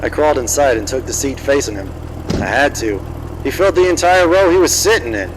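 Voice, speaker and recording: An actor playing a cocky, wisecracking old-time private detective in a noir radio drama, wry and swaggering. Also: male voice, mid-range voice, animated, a little fast, background noise, lightly acted. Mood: hard-boiled narrating. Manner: smooth-talking, wry, swaggering. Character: cocky, wisecracking 1940s hard-boiled male private detective.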